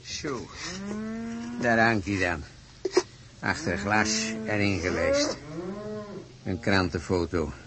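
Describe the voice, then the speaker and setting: A man's voice: lowish voice, big pitch swings, takes it slowly, pauses often, heavy background noise. An actor playing a stubborn, gullible rich old farmer in a radio play, blustering and stammering as he repeats himself. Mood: brooding.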